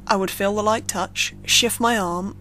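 A woman reading a scripted first-person horror story in a measured, suspenseful storytelling manner. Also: medium pitch, fairly even intonation, pushed and bright, a little hiss or hum. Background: background music under the narration.

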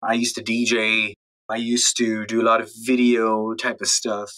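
A man's voice: on the low side, flat delivery, normal speed, bright, forceful tone, low-quality recording. A man, talking casually as a podcast guest and acting out his thoughts as quotes. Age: late 20s, turning thirty. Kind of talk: casual interview talk.

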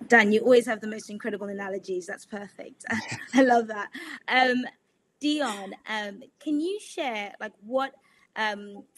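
A woman moderating a video call panel, effusive and upbeat, with lots of ums. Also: medium pitch. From South Africa. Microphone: video call webcam.

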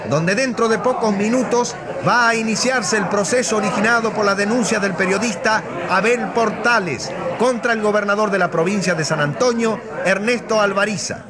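A woman acting an excited live radio reporter in a radio drama, speaking urgently and projecting, sometimes breaking off and repeating herself. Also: mid-range voice, moderate pace, close to an everyday voice. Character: excited female radio reporter amid a packed crowd. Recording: loud crowd noise behind. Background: noisy crowd.

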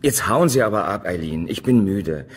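An actor playing a gruff, tired photographer in a radio play, curt and mocking. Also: male voice, on the low side, animated, moderate pace. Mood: weary, dismissive.

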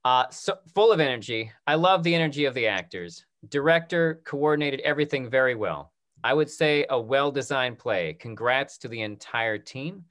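A man around his thirties moderating a casual question-and-answer session on a video call, restarting phrases in a halting way. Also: mid-range voice, lively intonation, a bit bright. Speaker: moderator of a panel discussion. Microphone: video call computer microphone.

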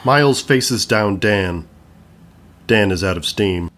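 A man's voice: on the low side, slow pace, fairly bright tone. A man reading stage directions as the narrator of an audio play, in scripted narration.

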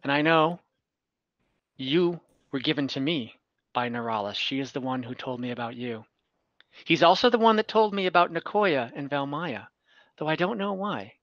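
Guy roleplaying a scheming old-hand adventurer, earnest n ominous, bright voice, dull call audio. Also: fairly high pitch, expressive, normal speed, no echo. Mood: grave, urgent. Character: weary scheming adventurer recruiting warriors for a divine war. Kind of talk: in-character tabletop roleplay. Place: room at home, on a group video call.

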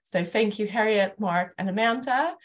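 A woman's voice: mid-range voice, normal speed. A middle-aged woman moderating a panel discussion over a video call from a room at home. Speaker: panel host.

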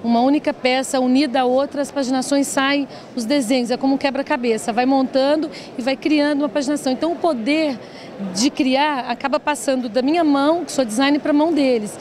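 A woman's voice: high voice, fairly quick, a little mellow. A Brazilian woman in her forties explaining her design work in an interview, as a company director. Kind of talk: promotional trade fair interview. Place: open stand in a large indoor exhibition hall. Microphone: handheld reporter microphone held by interviewer. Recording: busy trade fair crowd behind. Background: crowd chatter of a trade fair.

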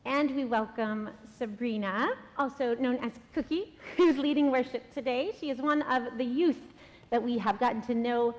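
A middle-aged woman pastor giving the welcome and announcements at a church service, speaking warmly and laughing between sentences. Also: a little high, normal speed. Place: large church sanctuary. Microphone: stand microphone at a lectern. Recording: long church reverb.

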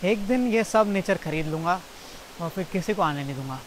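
Young Indian comic, twenties, awkward and self-mocking, swearing, talking to camera outside, distant mic, faint hiss. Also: male voice, high-pitched, lively intonation, normal speed. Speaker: stand-up comedian. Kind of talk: rambling outtakes to camera, then stand-up set. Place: outdoors by a jungle stream.

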